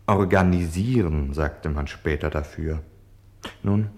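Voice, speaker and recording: A self-justifying, defiant German man in a postwar radio drama. His lowish voice swoops widely as he moves from hushed confession to loud declamation. The sound is echoey and roomy. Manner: theatrical, declamatory, swinging from hushed confiding to shouting.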